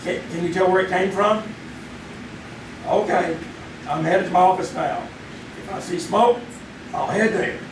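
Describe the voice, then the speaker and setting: A man's voice: sits high, a little slow, pauses often. A man playing a flustered 1950s husband. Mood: startled. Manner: fumbling, stammering when startled. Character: flustered 1950s small-town husband, Mike. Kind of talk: live old-time radio drama acting. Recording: roomy live stage, distant mic, noisy.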